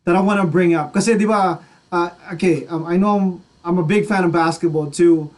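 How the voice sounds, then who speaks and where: A man's voice: high voice, steady tone, brisk, a little mellow. A man with a Filipino accent, co-hosting a casual radio chat and often repeating phrases as he goes. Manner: stammering repetition of phrases. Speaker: radio co-host. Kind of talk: casual chat, mixing Tagalog and English.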